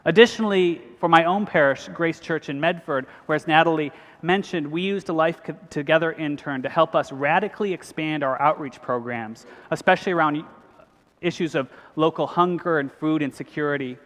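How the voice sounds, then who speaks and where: A priest, giving an earnest, measured convention speech. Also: male voice, fairly high pitch, fairly bright tone. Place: large cathedral. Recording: long hall reverb.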